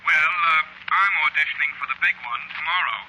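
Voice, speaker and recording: Old-time radio: offhand, self-satisfied man on a hissy phone, highish and intensely forceful. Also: fairly quick. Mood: smug, offhand. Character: offhand, smug, gossipy man on a phone line. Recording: hissy vintage radio, telephone-line sound.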